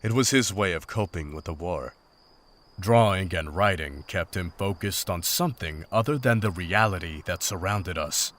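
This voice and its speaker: A man playing a grim, war-weary monster hunter in a visual novel, speaking in a solemn, formal, measured way. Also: low voice, a bit bright, slight background noise. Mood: somber. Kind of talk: visual novel voice acting, dramatic journal narration and dialogue.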